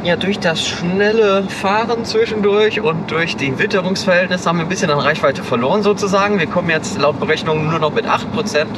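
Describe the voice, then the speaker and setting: A man riding as a passenger in a car, chatting and explaining in an easy way. His voice sits a bit high for a man. Road noise from the moving car stays clearly audible behind him. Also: fairly quick, hardly any pauses. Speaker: car vlog co-host, passenger. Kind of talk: casual in-car chat.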